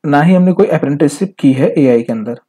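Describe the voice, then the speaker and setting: A man's voice: a little high, expressive, brisk, dark tone, husky. A man with an Indian accent presenting an informal step-by-step tutorial in an explanatory, instructional way. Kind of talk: informal step-by-step screen tutorial, mixing in English phrases.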